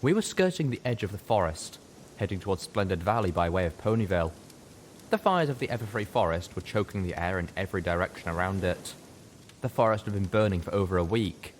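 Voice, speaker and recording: Lively low-voiced man narrating a story. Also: fairly quick, slight background noise. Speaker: audiobook reader.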